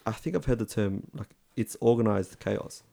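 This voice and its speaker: A man's voice: lowish voice, lively intonation, moderate pace, gravelly, mellow. A young man with a strong Australian accent, talking in a laid-back casual chat full of slang and "like". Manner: laid-back slangy talk, lots of "like" and "bro".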